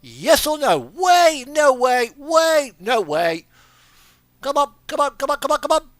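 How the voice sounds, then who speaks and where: Animated high-pitched man chatting over a game. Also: a little slow, dry recording, studio quality. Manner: sweary, talking to himself and the game. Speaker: streamer playing a truck driving simulator. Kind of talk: casual gaming commentary.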